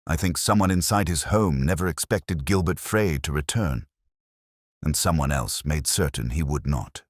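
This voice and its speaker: A man voicing a grim, shrewd detective in an audiobook, speaking in a grave, incisive, deliberate manner. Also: a bass voice, no room sound, studio quality. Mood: pensive. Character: grim, shrewd male detective, coolly commanding. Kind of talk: audiobook character dialogue.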